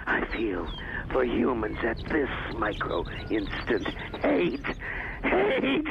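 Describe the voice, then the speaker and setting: A muffled, noisy radio play recording of a sadistic, godlike machine full of hatred for humans. The delivery is extremely slow and theatrical, with a gravelly creak, drawing words out with sinister relish. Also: male voice, mid-range voice. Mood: gloating menace. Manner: theatrical, savouring, drawn-out speech with sinister laughter. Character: sadistic, hate-filled godlike supercomputer tormenting humans. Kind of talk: radio drama acting.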